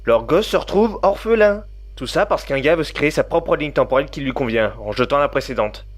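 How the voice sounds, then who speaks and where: A man's voice: on the high side, lively intonation, brisk. A man acting in an audio drama as an indignant, reproachful husband-type in a heated argument. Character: indignant man arguing at home, named Peter. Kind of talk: acted dialogue in audio drama.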